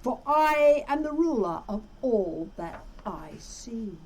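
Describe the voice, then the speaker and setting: A woman's voice: on the high side, sing-song, takes it slowly, darkish tone, full character voice, poor audio. A woman voicing a pompous, power-hungry male turtle king in a children's storybook, bellowing grandly and bossing everyone about. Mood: boastful. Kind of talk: children's storybook read-aloud.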